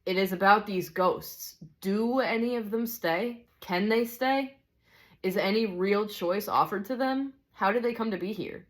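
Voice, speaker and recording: A woman's voice: medium pitch, unhurried, pitched above the performer's own voice, natural voice. A woman voicing an earnest, deferential man who puts polite, eager questions in a storybook reading. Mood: puzzled, curious. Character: earnest, deferential male narrator questioning his teacher.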